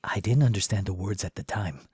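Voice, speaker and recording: Man as husky, somber young mercenary. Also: sits low, fairly quick, darkish tone, no echo, clean studio sound. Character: somber young male mercenary recalling his past. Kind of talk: video game voice acting.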